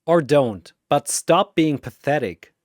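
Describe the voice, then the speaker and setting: A man voicing a bored, disdainful female android fighter in a flat, deadpan manner for a battle story. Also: on the high side, takes it slowly, dry recording, studio quality.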